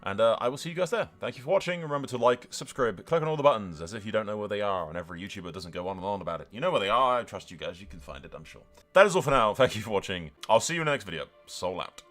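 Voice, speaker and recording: A man's voice: lowish voice, animated, rapid-fire, few pauses, fairly bright tone, faint music behind. A man giving casual, sweary gameplay commentary as a gaming YouTuber, chatting to his viewers in a blokey way.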